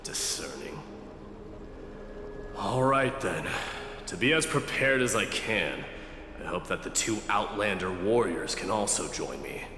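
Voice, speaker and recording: An actor playing a composed, valiant warrior lord in a fantasy game, speaking formally with deliberate assurance. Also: male voice, fairly low pitch, lively intonation, pushed and bright, slight background noise, exaggerated voice. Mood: resolute, measured. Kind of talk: scripted fantasy game voice acting. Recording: echoey hall reverb over clear music.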